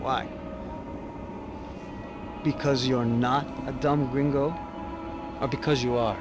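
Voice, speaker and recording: A man's voice: mid-range voice, fairly even intonation, takes it slowly, over music, natural voice. An actor playing a sardonic male investigator in a video game, wry and needling, full of pointed questions. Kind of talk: video game cutscene acting.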